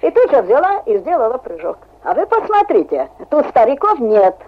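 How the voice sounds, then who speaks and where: A woman in her seventies from Hungary, with a Hungarian accent, telling lively stories in a halting, laughing way in a radio interview. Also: high-pitched. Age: 70s. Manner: lively storytelling, halting speech, laughs often. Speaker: interviewee, amateur skater. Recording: tinny phone-like old radio recording.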